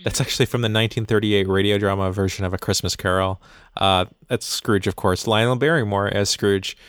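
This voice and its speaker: A man hosting a podcast, chatting in a relaxed, conversational way and reading ads with light hesitations. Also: lowish voice, a little fast, clear, resonant tone, no room sound, studio quality. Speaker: podcast host. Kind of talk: chatty intro and ad reads.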